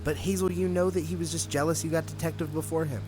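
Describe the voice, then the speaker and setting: Encouraging male police detective, played by an actor, audio drama, earnest and talkative, lighter pitch, music underneath. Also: fairly even intonation, quick pace, close to an everyday voice, rough recording. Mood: earnest, persuading.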